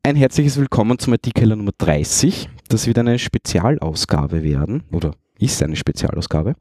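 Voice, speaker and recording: A man's voice: on the low side, lively intonation, normal speed, mellow, no room sound. A man hosting a podcast interview, asking questions in a loose, hesitant way with many fillers. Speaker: podcast host.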